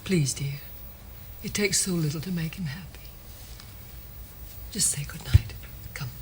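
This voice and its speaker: An actress playing a devoted older wife and mother, gently and anxiously coaxing, in a stage drama style. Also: on the low side, quick pace, close to an everyday voice. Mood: pleading. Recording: clearly noisy recording with clicks.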